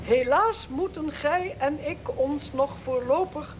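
A woman's voice: high voice, takes it slowly, background noise. A woman playing an aged, stubborn queen in a radio drama, stately and commanding. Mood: formal address. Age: older.

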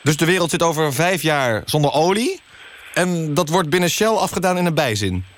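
A man playing an earnest radio news interviewer, deadpan and somewhat halting, in a satirical magazine show. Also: on the high side, natural voice. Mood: earnest, incredulous. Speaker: radio host.

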